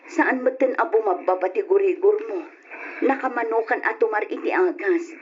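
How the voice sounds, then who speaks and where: A caring, fretful country mother played by a woman, fussing over her family and coaxing them along. Her mid-pitched voice is delivered as radio drama. The sound is dull and lo-fi with faint noise. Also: normal speed, natural voice. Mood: concerned, caring. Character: caring, fretful older rural wife and mother. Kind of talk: Ilocano radio drama acting. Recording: lo-fi old radio, background hiss.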